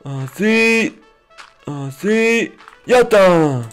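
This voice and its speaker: A man's voice: high-pitched, lively intonation, extremely slow, clean tone, soft background music. A man doing playful roleplay commentary over a video game, talking in a childlike, naive, exclamatory way. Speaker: gaming video host.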